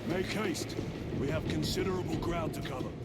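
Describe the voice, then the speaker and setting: An actor playing a stern, guarded space marine lieutenant in a video game, with a clipped, commanding delivery. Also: male voice, fairly high pitch, fairly even intonation, moderate pace, heavy background noise, close to an everyday voice. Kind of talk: video game cutscene acting. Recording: loud music over the voice.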